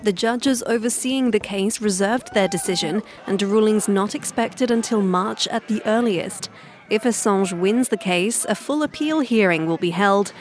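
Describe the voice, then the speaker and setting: A woman news reporter reading a scripted broadcast report. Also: medium pitch.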